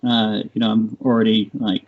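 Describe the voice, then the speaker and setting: A man in his late forties talking as a podcast guest, halting with lots of fillers and restarts. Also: lowish voice, little pitch movement. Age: 40s. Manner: halting, many fillers and restarts, laughs along. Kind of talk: casual chat. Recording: dull lo-fi audio.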